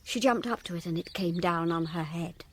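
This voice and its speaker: A woman's voice: lowish voice, moderate pace, slight background noise, natural voice. An actress playing a blunt, dry woman college lecturer in a radio drama, clipped and matter-of-fact. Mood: grim.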